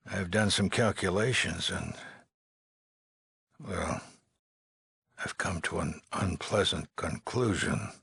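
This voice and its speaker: An actor playing a worried, authoritative male leader of an underground vault in a video game, with a gravelly, husky voice and a grave, deliberate manner. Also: low-pitched, bright, forceful tone, no room sound, clean studio sound, heavily acted. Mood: grave, troubled. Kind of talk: dramatic game dialogue.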